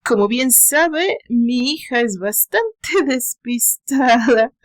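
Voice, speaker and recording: A woman voicing a drunk, heartbroken rich man in an audio story, with slurred, drawn-out, emotional delivery. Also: a little high, lively intonation. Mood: drunken, tearful. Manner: emotional, broken by gasps and bitter laughs. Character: drunk, heartbroken wealthy male tycoon, bitter and pleading.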